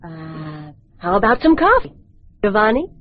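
A woman's voice: medium pitch, big pitch swings, unhurried, breathy edge, dry recording. A woman introducing colleagues in a friendly, scripted office dialogue. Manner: friendly hosting, making introductions. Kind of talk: scripted language-course dialogue. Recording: dull lo-fi dry tape recording.

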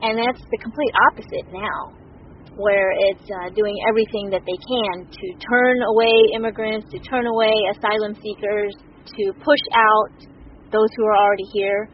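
A woman's voice: medium pitch, steady tone, moderate pace, highs cut off, a little hiss or hum. A woman being interviewed, speaking conversationally with small fillers as she points things out. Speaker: sociologist interviewee. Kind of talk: casual interview talk.

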